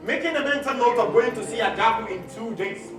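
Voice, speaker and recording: A male stage actor with a Nigerian accent narrates theatrically in a high register. He is heard from a distance in an echoey hall, with music behind him. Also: brisk. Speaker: stage actor narrating a one-man play. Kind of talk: theatrical scripted storytelling.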